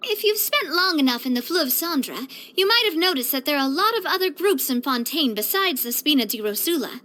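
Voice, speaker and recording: A woman voicing a bright, earnest, sociable young female leader in a video game, chatty and expressive. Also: high voice, a little fast. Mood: cautioning, confident. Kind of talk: video game character voice lines.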